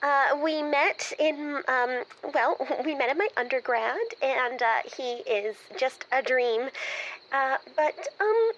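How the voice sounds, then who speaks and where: A woman's voice: high-pitched, animated, slow pace, a bit bright, lo-fi sound, slight background noise, exaggerated voice. A woman playing a gushing, embarrassed, nerdy lovestruck caller in an improvised comedy, hesitant and then dramatically emphatic. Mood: embarrassed, giddy. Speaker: caller seeking advice.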